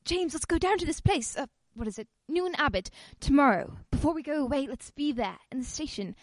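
An actress playing an inquisitive, persistent wife in a radio play, earnest and probing with theatrical delivery. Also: female voice, sits high, animated, brisk, dry recording. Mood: insistent. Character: inquisitive, persistent wife, suspicious yet affectionate.

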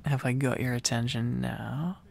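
A man's voice: medium pitch, steady tone, a little slow, gravelly, darkish tone, a little hiss or hum. A man doing a boyfriend ASMR roleplay in a playful, teasing, affectionate way, rambling intimately as a needy, silly boyfriend close to the microphone.

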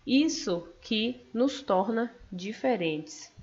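A woman's voice: a little high, lively intonation, slow, a little mellow. A Brazilian woman student giving a class presentation over slides, explaining step by step with some hesitant fillers. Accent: Brazilian Portuguese. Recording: dull lo-fi recording.

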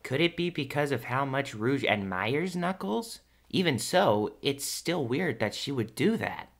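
A man's voice: medium pitch, very animated, unhurried, creaky, heavily acted. A man playing a brash, teasing young cartoon hedgehog hero in a casual fan audio drama. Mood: cheeky.

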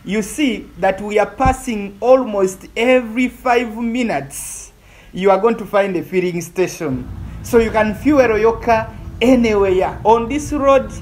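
A woman's voice: on the high side, darkish tone, slight background noise. A woman with a Ugandan accent narrates a travel vlog casually, repeating phrases for emphasis. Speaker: vlogger. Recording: music under the voice. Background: music.

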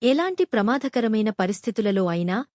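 A woman's voice: a little high, very animated, a little fast, a little mellow, dry recording, clean studio sound. A woman narrating a scripted moral story for children.

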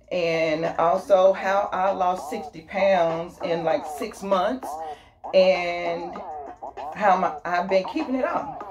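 Upbeat woman vlogger, forties, lower register, casual home unboxing with music in the background. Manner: chatty and upbeat, with laughs and exclamations. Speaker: fitness YouTuber. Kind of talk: casual product unboxing vlog. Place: small bedroom at home. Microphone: camera mic at a few feet. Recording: music playing under the voice.

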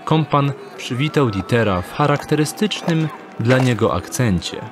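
A man narrating an audio drama in an even storytelling tone. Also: mid-range voice, moderate pace, crowd noise, noisy background.

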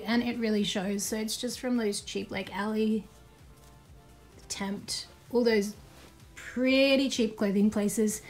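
An upbeat Australian woman in her thirties chats through her routine in a casual vlog. Her voice sits a little high, and faint music plays underneath. She is recorded in a small bedroom on a camera nearby. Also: darkish tone. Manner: upbeat, chatty, practical. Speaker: home lifestyle vlogger. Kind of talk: casual chatty vlog talk. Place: small bedroom by a wardrobe, indoors.

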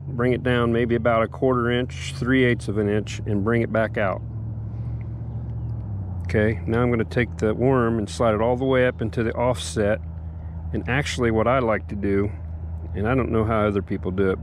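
A man's voice: mid-range voice, a little hiss or hum. Man talking fishing from a small boat. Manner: relaxed, explains step by step, excited bursts at catches. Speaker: fishing channel host. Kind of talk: casual fishing commentary and how-to. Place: small boat on an open lake outdoors. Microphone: head-mounted action camera.

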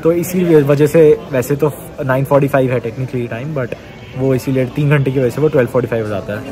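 A man's voice: medium pitch, fast talker, low-quality recording. Young Indian man vlogging, music behind. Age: early 20s. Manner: informal, mixing in English words. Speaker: travel vlogger. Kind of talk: casual vlog chat. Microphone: phone at arm's length.

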